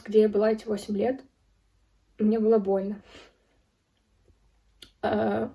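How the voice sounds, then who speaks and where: A woman in her early twenties from Ukraine giving a serious personal account as a video blogger, speaking in halting, deliberate phrases with pauses. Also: mid-range voice, warm tone, far from the mic. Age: early 20s. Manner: serious, solemn. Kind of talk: personal account spoken to camera.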